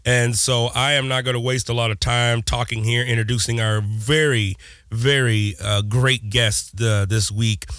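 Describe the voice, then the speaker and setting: A man hosting a podcast, hoarse as he recovers from a lost voice, speaking casually in a rambling, hesitant way with lots of fillers. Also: mid-range voice, fairly even intonation, a little slow, fairly bright tone, no echo, studio quality. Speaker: podcast host.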